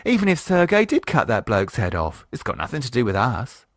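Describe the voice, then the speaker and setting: A man voicing a sharp-tongued wife, talking in a blunt, quick, scolding way. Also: mid-range voice, wildly swooping pitch, dry recording, clean studio sound.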